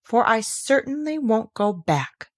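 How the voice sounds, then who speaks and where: A woman's voice: a little high, very animated, measured pace. Timid, thoughtful storybook little girl, played by a woman, polite and puzzled, musing hesitantly. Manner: hesitant, musing aloud to herself. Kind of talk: children's storybook character reading.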